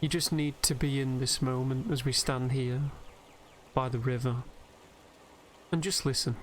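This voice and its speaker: A man gives soothing, unhurried, gentle guided sleep meditation commentary over a game. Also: mid-range voice. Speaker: host and narrator of a game meditation video. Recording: steady background noise, game nature ambience. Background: game ambience of river and birdsong.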